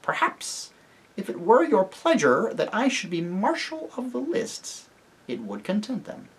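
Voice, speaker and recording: A storybook narrator voicing a small, proud mouse knight with a shrill voice and courtly, formal manner. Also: wildly swooping pitch, unhurried, husky, heavily acted, low-quality recording. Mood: proud, pleading.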